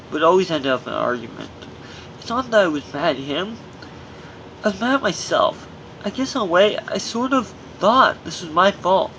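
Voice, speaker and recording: A man's voice: high voice, a bit bright, a little hiss or hum, low-quality recording. A man reading his own memoir aloud as scripted audiobook narration, in an earnest manner with occasional stumbles over words.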